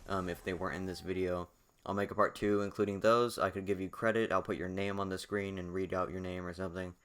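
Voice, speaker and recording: Low-voiced young man, losing his voice. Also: flat delivery, quick pace, fairly bright tone. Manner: rambling, repeats himself, many fillers. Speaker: gaming YouTuber. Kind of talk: casual video commentary.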